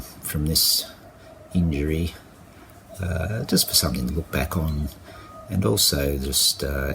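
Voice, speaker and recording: A man talking through his injury recovery in a casual video diary, halting and full of fillers and restarts. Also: extremely low pitch, vocal fry, noisy background. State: croaky with a cold. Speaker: vlogger.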